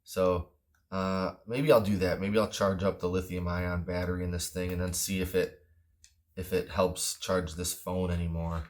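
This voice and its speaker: A man's voice: sits low, little pitch movement, normal speed. A man giving a casual hands-on product review in an offhand, plain-spoken, slightly hesitant way. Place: indoors at a wooden table.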